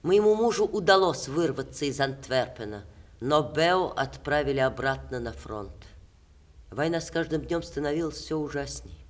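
Proud ageing stage actress, theatrical. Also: female voice, deep voice. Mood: sombre recounting. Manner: theatrical, expressive, measured diction. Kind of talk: 1960s Russian theatre radio play acting.

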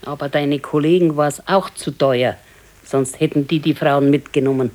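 An actress playing a resigned, wistful elderly wife with a Bavarian accent in a naturalistic radio play, speaking plainly and haltingly. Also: female voice, deep voice, steady tone, a little mellow, natural voice. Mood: resigned regret. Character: wistful, resigned old pensioner's wife, thrifty.

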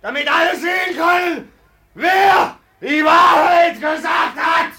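An actor playing a brutal, coarse and scornful farm master who drinks hard, in the style of 1950s German radio drama. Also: male voice, very high-pitched, takes it slowly, bright, forceful tone, raised voice, a faint crowd, exaggerated voice. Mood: raging. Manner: coarse, scornful, blustering, cursing. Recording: old radio play recording, partly off-mic.

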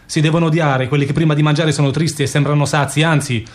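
A man's voice: fairly high pitch, fairly even intonation, fast, natural voice. A man playing an earthy, fervent meat seller in a radio play, declaiming with insistent repetition. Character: earthy, fervent male meat vendor at a market. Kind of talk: theatrical radio play acting.

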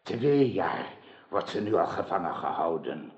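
An older actor playing a grieving, dignified old king in a radio drama, with a solemn, halting delivery. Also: deep voice, sing-song, heavily acted. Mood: sorrowful, grave. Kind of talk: theatrical radio drama acting. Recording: dull, echoey radio play recording.

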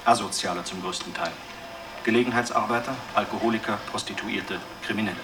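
A man's voice: fairly low pitch, fairly even intonation, fairly quick, close to an everyday voice. A man playing a matter-of-fact crime documentary narrator in a radio drama, with clipped, sober scripted delivery. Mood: sober, factual. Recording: distant voice under loud music.

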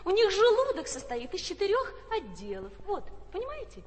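A know-it-all waitress. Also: female voice, very high voice, wildly swooping pitch, normal speed, heavily acted. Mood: lecturing. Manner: lecturing, textbook recitation. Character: know-it-all young waitress, veterinary graduate. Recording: stage recording in a reverberant hall.

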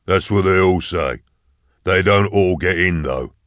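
A male narrator performs an old, overweight caretaker for an audiobook. The voice is low and gruff, that of a man in his sixties who once soldiered and still guards his floor. The sound is dry, with no room around it. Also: a little slow, fairly bright tone, no room sound. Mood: gruff, challenging. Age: 60s. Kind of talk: audiobook character dialogue.

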